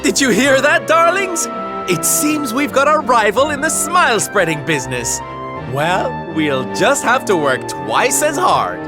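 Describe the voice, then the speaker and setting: A man's voice: extremely high pitch, sing-song, unhurried, loud music behind the voice, full character voice. A man playing a flamboyant, cheerful circus performer in a game, with a camp, lilting theatrical delivery. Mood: delighted. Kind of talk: video game cutscene acting.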